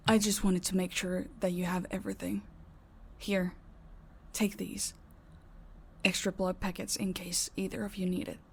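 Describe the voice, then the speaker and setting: A woman playing a caring, calm vampire in an audio roleplay, speaking in a soft, gentle, concerned way. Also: on the low side, normal speed, creaky, long pauses, slight background noise. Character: caring, calm female vampire who looks after her housemates.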